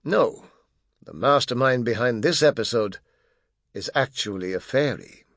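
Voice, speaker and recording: A man's voice: mid-range voice, animated, unhurried, fairly bright tone, no echo, studio quality. A man reading a children's fantasy audiobook as narrator, telling the story expressively with emphatic stresses.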